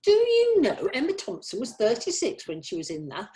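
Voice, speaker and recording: A woman's voice: fairly high pitch, wildly swooping pitch, moderate pace, dark tone. A woman acting a genteel, fussy, chatty bookish lady in a comedy script read, politely old-fashioned in her phrasing. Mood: gossipy.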